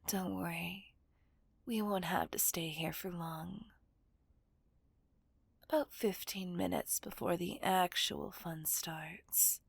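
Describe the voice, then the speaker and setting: Woman acting a breathy, whispering female villain kidnapper. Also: lowish voice, no room sound, exaggerated voice. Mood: smug, taunting. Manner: slow, commanding, mocking. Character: menacing, dominant female villain kidnapper, possessive and taunting. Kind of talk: ASMR roleplay, whispered dramatic monologue. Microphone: close microphone.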